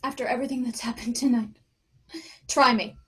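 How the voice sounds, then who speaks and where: A woman plays a shaken mother in a supernatural thriller read. Her voice sits a little high, and she presses with urgent, halting questions, determined to get answers. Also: steady tone, lightly acted. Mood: incredulous. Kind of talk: screenplay table read acting.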